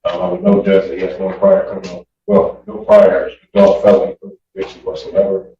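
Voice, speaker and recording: A man speaking as a defense attorney, arguing to a judge in a hesitant, deferential way with many halting pauses. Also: sits low, very animated. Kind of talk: courtroom argument to a judge. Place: courtroom. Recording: echoey, muffled, distant courtroom audio.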